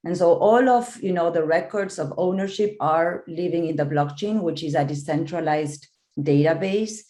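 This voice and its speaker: A woman's voice: on the low side, moderate pace, roomy sound. Woman from Argentina on a video call. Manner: explanatory, steady with fillers. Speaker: panelist, investment partner. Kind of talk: conference panel remarks. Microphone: joining remotely by video call.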